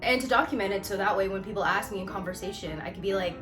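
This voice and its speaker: A woman chatting casually to camera as she tells a personal story, animated and conversational as she acts out reactions. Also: mid-range voice, fast talker, soft background music. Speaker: actor and vlog host telling her own story.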